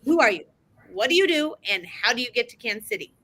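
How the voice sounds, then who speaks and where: Host: upbeat woman, high and lively, bright forward tone. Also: quick pace, slightly rough. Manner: upbeat, animated questioning. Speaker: podcast host. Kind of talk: casual chat.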